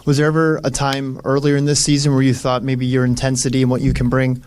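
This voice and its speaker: A man's voice: mid-range voice, monotone, fast talker, a little mellow, smooth. A man working as a reporter, putting a question at a press conference.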